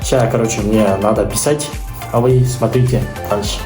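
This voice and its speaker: Halting man vlogging. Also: on the low side, steady tone, music in the background. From Kazakhstan. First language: Kazakh. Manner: halting, searching for words, many fillers. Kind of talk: casual vlog talk.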